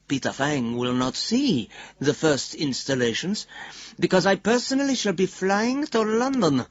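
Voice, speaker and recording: An actor playing a confident, scheming bank chief executive, reading a formal, triumphant letter in a radio drama. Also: male voice, medium pitch, muffled recording.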